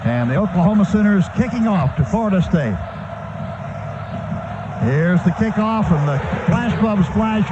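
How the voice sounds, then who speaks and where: A high-voiced American man calls a football game live on the radio. He describes each movement in short, running bursts. The broadcast sounds dull and lo-fi, with clear noise behind him. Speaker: play-by-play radio announcer. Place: stadium broadcast booth.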